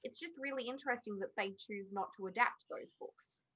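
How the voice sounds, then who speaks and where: A woman's voice: fairly high pitch, a little fast, sharp tone, husky. A woman on a podcast panel, talking casually and giving her opinions in an emphatic, insistent way.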